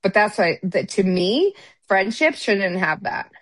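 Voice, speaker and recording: A woman's voice: mid-range voice, very animated, unhurried, dry recording. A middle-aged woman speaking bluntly and emphatically in a candid podcast chat, often restarting her sentences.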